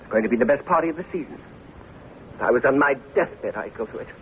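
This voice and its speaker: An actor playing a vain, spiteful elderly society snob in 1940s film style. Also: male voice, medium pitch, animated, fast, phone-quality sound, close to an everyday voice. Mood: indignant. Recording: thin, lightly noisy old radio broadcast.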